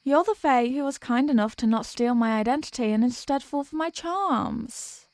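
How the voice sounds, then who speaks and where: Woman voicing a theatrical high-voiced vampire girlfriend. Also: normal speed, a little mellow, dry recording, clean studio sound. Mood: playful, smitten. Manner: theatrical, pleading, mock-tragic. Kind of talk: scripted roleplay audio monologue.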